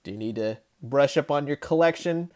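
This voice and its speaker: A man's voice: fairly high pitch, a little fast, a little mellow, clear, resonant tone. A man in his thirties giving a casual, enthusiastic toy review, chatty and emphatic as the host.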